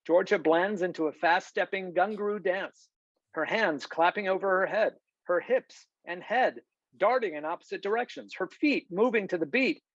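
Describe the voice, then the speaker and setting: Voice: mid-range voice, normal speed, dry recording. A narrator reading scripted stage directions for a screenplay table read over a video call. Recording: dull, lo-fi video call audio.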